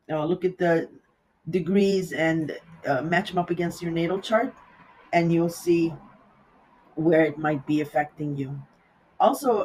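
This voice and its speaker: Raspy, hoarse astrology reader with a cold. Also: medium pitch, poor audio. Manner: rambling, talks loosely with asides. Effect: audio sped up in editing. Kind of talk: casual horoscope reading.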